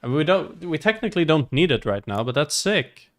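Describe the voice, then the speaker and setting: A man's voice: fairly high pitch, very animated, normal speed. A man doing casual, upbeat gameplay commentary as a streamer, thinking aloud as he goes. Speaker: game streamer. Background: faint game music.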